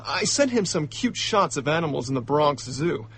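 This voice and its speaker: A young male diplomat in a radio drama, indignant and hot-headed. His voice sits fairly high with a lively swing and a touch of husk. He talks in excitable slang. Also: moderate pace, slightly rough, exaggerated voice. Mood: agitated recounting.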